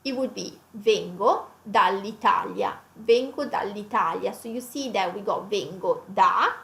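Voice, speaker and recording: A woman's voice: mid-range voice, unhurried, creaky, a bit bright. A woman with an Italian accent teaching a language lesson conversationally, repeating phrases and breaking them down step by step in an echoey room.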